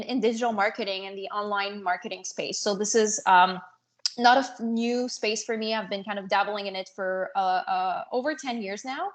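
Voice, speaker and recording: A woman answering casually as an interview guest, earnest and somewhat halting as she searches for words. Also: mid-range voice, fairly bright tone. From North Africa and France. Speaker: podcast guest, business coach.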